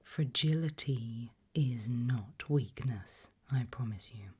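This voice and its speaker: Whispering, breathy woman doing slow ASMR. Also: extremely low pitch. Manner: slow, measured, quietly ominous. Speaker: ASMR host playing a fear-test examiner. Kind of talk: whispered ASMR role-play, addressing the listener.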